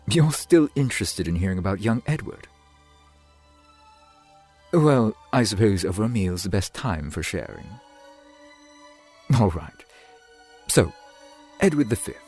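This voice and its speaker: A man playing a slow, husky, courtly vampire boyfriend. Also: lowish voice, big pitch swings, faint music behind, heavily acted. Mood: fond, gently teasing. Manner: formal, archaic, gently attentive phrasing. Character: courtly, doting centuries-old vampire boyfriend. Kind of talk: ASMR boyfriend roleplay.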